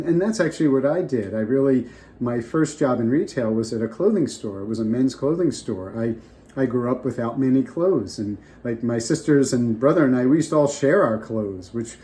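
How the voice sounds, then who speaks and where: An older man gives advice straight to his viewers, talking off the top of his head. His voice is mellow and relaxed, a little off from a lingering cold, and he circles back and restarts his sentences often. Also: medium pitch. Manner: rambling, restarts often, off the cuff. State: getting over a cold. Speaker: vlogger. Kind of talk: casual advice talk to camera.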